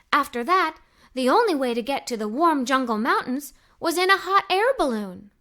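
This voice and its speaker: Woman doing a kids read aloud, bright n really bouncy, super keen girly narrator. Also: high-pitched. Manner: animated, enthusiastic. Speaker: storybook narrator. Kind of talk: expressive children's read-aloud, narrating in character as an adventurous young girl.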